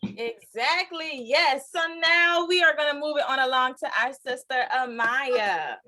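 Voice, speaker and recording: Young woman host, higher-pitched voice. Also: a little slow, noisy background. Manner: upbeat, chatty, addresses audience directly. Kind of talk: live group call hosting.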